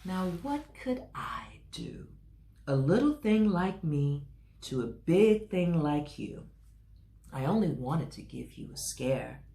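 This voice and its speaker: A woman's voice: low voice, dark tone, heavily acted. A woman voicing a small, teasing male gray mouse in a playful, lifted voice while reading a storybook aloud. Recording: roomy echo, faint noise.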